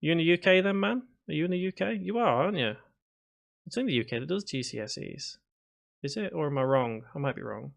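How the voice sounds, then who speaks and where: Gravelly Scouse man in his thirties. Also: on the high side, expressive, a little fast. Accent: Liverpool (Scouse). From Liverpool, England. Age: 30s. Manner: reads chat aloud and answers, with restarts and fillers. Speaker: game development streamer. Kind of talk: casual live stream chat.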